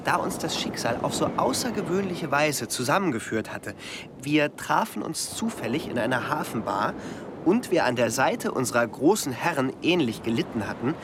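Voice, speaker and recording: An actor playing a reminiscing traveller, telling a story in wordy sentences in a radio play. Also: male voice, fairly high pitch, noisy background. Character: reminiscing traveller, a former companion to a great man.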